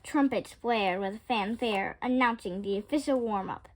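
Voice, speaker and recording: A woman reading a children's picture book aloud with expressive, dramatic storytelling. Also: mid-range voice, moderate pace. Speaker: storytime reader.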